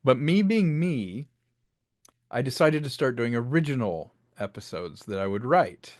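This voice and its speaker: A man's voice: mid-range voice, expressive, measured pace, a little mellow, no room sound, clean studio sound. A man speaking as an interview guest, telling stories about his creative work in a casual, chatty way with rambling asides. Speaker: interview guest, filmmaker and audio drama creator.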